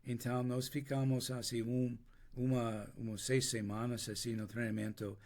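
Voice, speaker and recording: Older American man speaking halting learned Portuguese. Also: medium pitch, level tone, darkish tone. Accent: American English. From United States. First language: English. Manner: halting, many restarts, searches for words in a second language. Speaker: interview guest. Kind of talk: unscripted interview storytelling.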